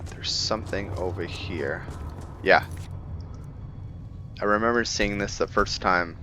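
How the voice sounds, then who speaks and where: A man's voice: sits low, unhurried, pushed and bright, slight background noise. A man giving casual video game commentary as he plays, thinking aloud in a musing way. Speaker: gamer hosting a roleplay playthrough.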